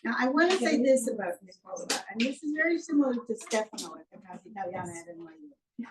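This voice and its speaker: A woman's voice: fairly high pitch, lively intonation, quick pace. A woman author speaking to an audience at a book event, chatty and appreciative. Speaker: author thanking hosts. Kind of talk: casual event remarks. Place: bookstore event room. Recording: distant voice in a room with faint noise.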